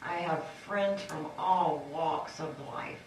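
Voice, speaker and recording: A woman's voice: deep voice, takes it slowly. A woman candidate giving a fiery, folksy campaign speech at a public forum. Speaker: candidate for county supervisor. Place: large echoey meeting hall. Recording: echoey hall from far off, faint hiss.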